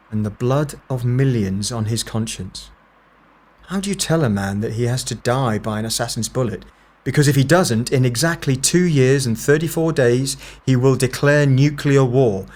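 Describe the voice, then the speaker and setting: A man's voice: medium pitch, a little mellow, close to an everyday voice. A man playing a serious, analytical time agent in an audio drama, terse, authoritative and matter-of-fact.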